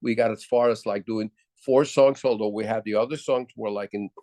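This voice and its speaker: An older man from Puerto Rico with a low voice speaks in a relaxed interview. He circles back and restarts his sentences often as he recalls old stories. The sound is dry and close. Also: no echo. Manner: halting, many restarts and fillers. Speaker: guest musician. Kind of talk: casual interview storytelling.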